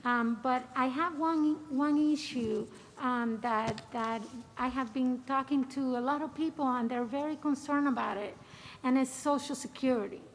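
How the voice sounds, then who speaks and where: A middle-aged woman, a retired Coast Guard lieutenant, reads a short prepared statement. She hesitates often, filling the gaps with small sounds. Her voice sits high. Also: normal speed, a little hiss or hum, poor audio. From Dominican Republic. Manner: hesitant, many filler sounds. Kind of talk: reading a prepared personal statement.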